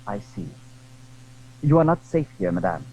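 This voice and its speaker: A man's voice: lowish voice, sing-song, a little slow, mellow, lo-fi sound, a little hiss or hum, pitched below the performer's own voice. A man acting a courteous, proud young swordsman in a period audio drama, speaking formally and earnestly. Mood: gallant, earnest.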